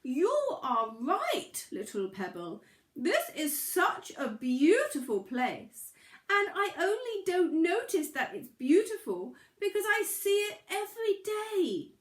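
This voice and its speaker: A vast, gentle whale from a children's story , acted out by a woman. The words boom and stretch out very slowly, with big swoops of pitch. The room around the voice is echoey. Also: very animated, full character voice. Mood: slow, grateful. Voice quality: booming. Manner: slow, drawn-out words. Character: huge, booming, slow, gentle whale of the deep ocean.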